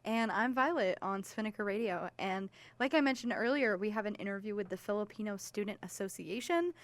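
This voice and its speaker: A young woman with a mid-range voice hosts student radio. She interviews guests in a casual, informal way and comes across as excitable. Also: mid-range voice, fairly quick. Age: young adult.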